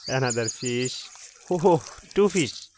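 Man, excited short exclamations, very slow, outdoor pond, noisy camera audio. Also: medium pitch. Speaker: fishing channel host. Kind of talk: excited running commentary.

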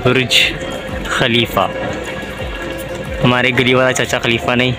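A young man chatting excitedly to viewers as he walks through a city plaza and mall, recorded on a handheld phone at arm's length. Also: mid-range voice, a little slow, music in the background. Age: young adult. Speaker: travel vlogger. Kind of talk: casual walking tour vlog.

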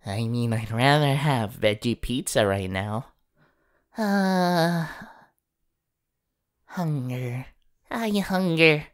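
A woman voicing a gentle, awkward, encouraging young male superhero in an ASMR comfort role-play, speaking haltingly and at times in a whisper. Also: extremely low pitch, gravelly, full character voice. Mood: casual, grumbling. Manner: hesitant, halting, partly whispered.

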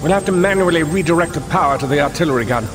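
An actor playing a polite, precise robot scientist in a video game, explaining technical matters in a courteous, articulate way. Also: male voice, fairly high pitch, rapid-fire, close to an everyday voice. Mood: focused, polite. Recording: loud game music and machinery behind.

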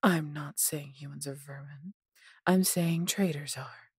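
A woman voicing a possessive, haughty yet doting female dragon in an intimate audio roleplay, condescending and theatrical, breathy and husky, often dropping to a whisper. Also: low voice, fairly even intonation, measured pace, dry recording, studio quality, exaggerated voice. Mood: menacing, scornful. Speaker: voice actor.

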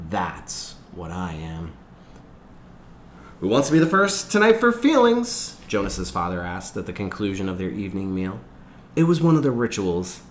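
A man reading a novel aloud as a teacher, in expressive storytelling narration. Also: low voice, slight background noise. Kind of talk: expressive audiobook-style narration.

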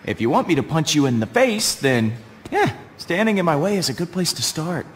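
An actor playing a brash, joking space outlaw in a video game cutscene. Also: male voice, fairly high pitch, very animated, brisk. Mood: defiant. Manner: wisecracking, brash. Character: cocky joking space outlaw man, adult. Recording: game audio with clear noise.

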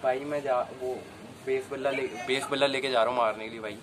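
A man's voice: mid-range voice, fairly even intonation, fast talker, a crowd in the background. A young man chatting casually as a vlogger, recorded outdoors on a camera mic. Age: young adult. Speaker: vlogger making prank calls. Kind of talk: casual chat. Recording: noisy amateur outdoor recording.

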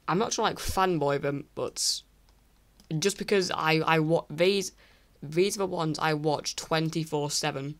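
A teenage boy from England ranking online creators in casual screen-recorded commentary, rambling and apologetic with many restarts, his voice croaky from recording late at night. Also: fairly high pitch, a bit bright. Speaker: YouTuber. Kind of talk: casual tier list commentary.

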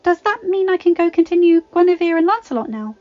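A woman's voice: very high voice, lively intonation, fast, dark tone, highs cut off, voice pushed up. A woman voicing a cheeky, eager French teenage girl in a storybook narration, with a loving, anxious streak.

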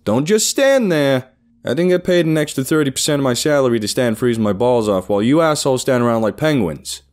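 A man voicing an impatient, foul-mouthed boss who shouts commands at his workers. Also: medium pitch, lively intonation, a little fast, voice pushed up, natural voice. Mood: angry, barking. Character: loud, foul-mouthed, impatient male boss barking orders.

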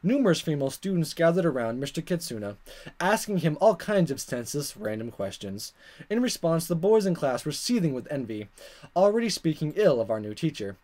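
A man's voice: on the high side, very animated, fairly quick, darkish tone. A man reading a visual novel aloud in a dramatic way, sometimes stumbling over words and repeating them. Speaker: gaming video maker. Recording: guitar music behind. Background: game music with plucked guitar.

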